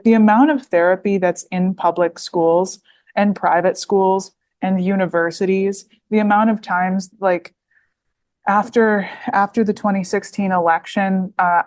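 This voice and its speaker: A woman's voice: lowish voice, darkish tone. A woman, talking as a podcast guest, full of fillers and restarts. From United States. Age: 20s. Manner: rambling, heavy with fillers and restarts. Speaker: guest author. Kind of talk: podcast interview.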